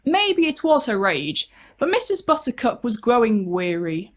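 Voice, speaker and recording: A woman storyteller narrates a scripted tale with dramatic flair. Her voice sits fairly high and moves through a wide, lively range of pitch. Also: unhurried.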